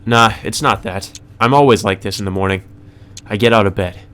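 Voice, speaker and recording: A man voicing a gloomy, anxious male high school student with a hesitant manner, in a visual novel read aloud. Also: a little fast, a bit bright, clean tone, natural voice. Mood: weary. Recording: music under the voice.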